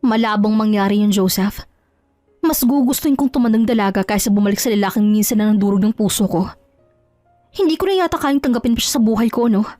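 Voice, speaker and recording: A woman's voice: mid-range voice, fast, warm tone, no echo, soft background music, lightly acted. A woman voicing a hurt, proud betrayed wife in an audio drama, scolding with scornful questions. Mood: indignant, resolute. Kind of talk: Tagalog audio drama acting.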